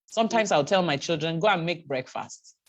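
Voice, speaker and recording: Low-voiced woman coaching with stories. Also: a little fast. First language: Yoruba. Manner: encouraging, repeats points with stories. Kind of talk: one-on-one coaching chat.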